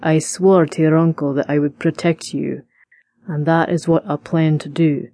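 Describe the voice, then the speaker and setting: A woman voicing an intense, serious Scottish bodyguard in an audiobook, speaking slowly in a deep, hushed voice. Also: fairly even intonation, dry recording. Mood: serious, intimate. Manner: slow, deliberate.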